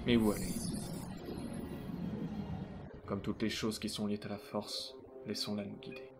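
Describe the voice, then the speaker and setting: A man playing a composed, principled Jedi knight and mentor in a comic dub, measured and solemn. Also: fairly low pitch, little pitch movement, natural voice. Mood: contemplative. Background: loud music.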